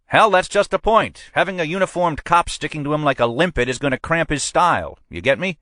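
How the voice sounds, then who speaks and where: A man voicing a brusque, blustering middle-aged police captain in an audiobook, speaking much higher and louder than his own narration. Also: lively intonation, fairly quick, dry recording. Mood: commanding. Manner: brusque, pushy, emphatic.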